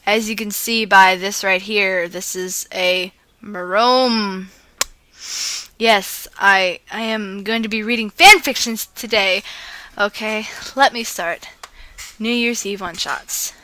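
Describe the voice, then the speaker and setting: A woman with a bright, forward voice reads fan fiction out loud. She is playful and dramatizes the dialogue as she goes. The sound is close and dry. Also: medium pitch, takes it slowly, no echo. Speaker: fan fiction reader and video host.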